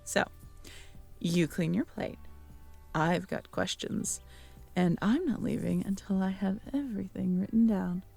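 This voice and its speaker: A woman acting a caring, teasing, curious young girlfriend in an intimate roleplay audio drama, talking softly and conversationally to the listener. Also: mid-range voice, unhurried, creaky, breathy edge, faint music behind. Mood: playful teasing. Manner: soft, intimate, conversational, playful.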